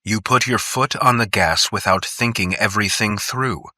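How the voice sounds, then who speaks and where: A man voicing a cautious male doctor in an audiobook, measured and smooth, gently admonishing. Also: on the low side, no room sound, clean studio sound, pitched above the performer's own voice.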